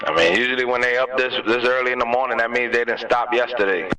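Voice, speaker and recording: A man's voice: medium pitch, fast talker. Distant New man on static-heavy CB. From Harlem, New York City. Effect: two-way CB radio. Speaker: visiting CB radio operator. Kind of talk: casual radio chat. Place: parked vehicle. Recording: noisy, distant-sounding CB radio transmission.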